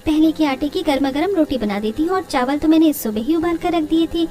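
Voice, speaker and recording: Clever young daughter-in-law, played by a woman narrator, high voice, polite, self-assured, storybook tale. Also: a little fast, warm tone, close to an everyday voice. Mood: confident. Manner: polite, confident.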